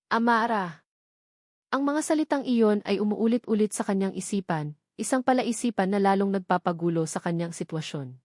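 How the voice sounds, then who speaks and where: A woman's voice: mid-range voice, darkish tone, no echo, studio quality. A woman with a Filipino accent reading a scripted love story as a dramatic narrator.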